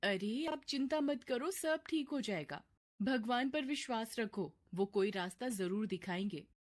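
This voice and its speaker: A woman's voice: fairly high pitch, animated, unhurried. A woman playing a caring, hopeful wife in a story, speaking in a soothing, reassuring way. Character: caring, hopeful wife consoling her husband.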